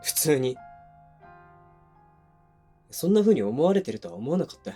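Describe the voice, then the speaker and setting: A man's voice: fairly high pitch, big pitch swings, normal speed, warm tone. Man acting a blunt young boyfriend, music behind. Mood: hurt, accusing. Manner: blunt, casual masculine speech. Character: defensive, hot-tempered young boyfriend quarrelling with his lover. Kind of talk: situation voice drama addressed to the listener. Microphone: close binaural microphone.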